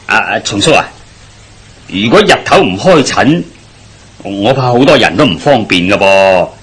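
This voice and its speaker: Chatty, nosy village joker for Hong Kong Cantonese radio drama: lowish male voice, sharp and husky. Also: expressive, normal speed. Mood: inquisitive. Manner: chatty, inquisitive, colloquial. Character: chatty, good-natured Cantonese village man, nosy and joking.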